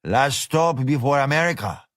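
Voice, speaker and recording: A man's voice: mid-range voice, animated, moderate pace, dry recording, studio quality, lightly acted. A man voicing a burly, mocking male restaurant owner who speaks English. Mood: gruff mocking. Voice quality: loud, brash, laughing.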